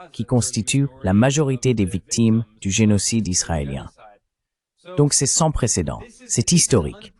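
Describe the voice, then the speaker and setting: A man's voice: on the low side, a little mellow, lightly acted. A man voicing a French translation of a political analyst's explanatory interview commentary.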